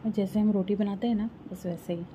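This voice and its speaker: A woman's voice: mid-range voice, steady tone, brisk, warm tone, a little hiss or hum. A woman from Rajasthan, India, talking a viewer through a home recipe step by step in her kitchen, recorded on a phone held close. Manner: homely, explains step by step. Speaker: home cooking vlogger. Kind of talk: casual cooking walkthrough.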